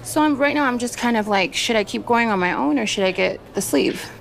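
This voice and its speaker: Earnest woman with a gravelly voice. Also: a little high, brisk, slight background noise. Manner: earnest, heartfelt. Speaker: salon owner, makeup artist. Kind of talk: casual emotional conversation. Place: salon. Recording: background music under voice.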